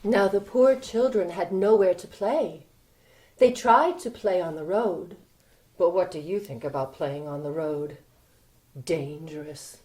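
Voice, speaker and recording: A woman narrating a children's story in an expressive, theatrical style, inviting listeners along. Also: on the low side, measured pace.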